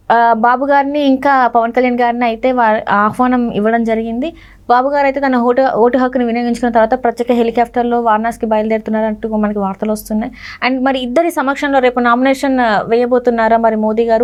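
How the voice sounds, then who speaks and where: High-pitched woman interviewer. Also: fairly even intonation, quick pace. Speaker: interviewer, host. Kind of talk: political news interview with long questions.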